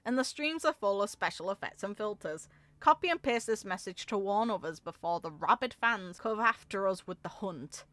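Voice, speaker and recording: A woman reading game story text aloud in an expressive way, with casual, informal asides of her own. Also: medium pitch, moderate pace, no room sound. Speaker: video game content creator.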